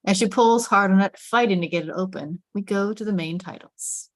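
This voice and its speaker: A woman's voice: medium pitch, moderate pace, no echo. A woman reading stage directions as dramatic scripted narration, with suspenseful, emphatic phrasing.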